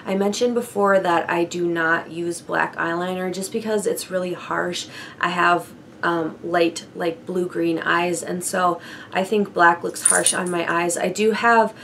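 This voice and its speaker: Hoarse, chatty young woman vlogging at home. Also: on the low side, fairly even intonation, measured pace, fairly bright tone, slight background noise. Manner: chatty, laughs lightly, frequent fillers. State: hoarse from bronchitis. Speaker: beauty vlogger. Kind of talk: casual product update chat.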